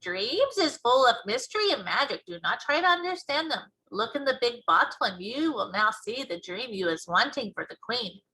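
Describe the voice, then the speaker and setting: A woman voicing an excitable big friendly giant in a storybook, speaking in folksy broken grammar with invented words. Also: on the high side, moderate pace, hardly any pauses, husky, full character voice, rough recording. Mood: matter-of-fact, assured. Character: excitable, muttering big friendly giant, male, dream-mixer. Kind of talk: storybook read-aloud character voice.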